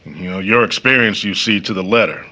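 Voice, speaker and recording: A man's voice: lowish voice, a little fast, bright, forceful tone. An actor playing a polite, earnest and sympathetic working man in a stage-style audio drama. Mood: sober, sympathetic. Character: courteous, sympathetic working man, family friend.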